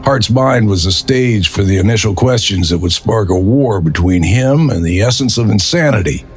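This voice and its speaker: A man's voice: lowish voice, animated, normal speed, soft background music. A man narrating a detective audio drama in a brooding, dramatic storytelling manner. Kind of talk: scripted noir narration.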